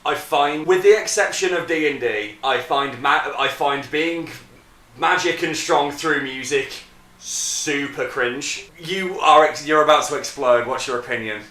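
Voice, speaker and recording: A man's voice: a little high, measured pace, sharp tone, distant mic. Man chatting from across an echoey room. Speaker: guest friend giving opinions. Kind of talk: casual opinionated chat. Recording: echoey room, away from mic.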